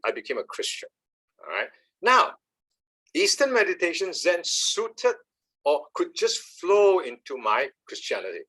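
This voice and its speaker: A man, giving an animated, emphatic talk on meditation and often checking in with "all right?". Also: medium pitch, husky. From Malaysia. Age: 70s. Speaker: speaker on meditation. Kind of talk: talk with slides.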